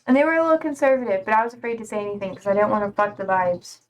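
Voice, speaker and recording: A woman's voice: mid-range voice, fairly even intonation, racing, a little hiss or hum. A young woman streaming live, chatting casually and reading out viewer comments in a slangy, rambling way full of "like".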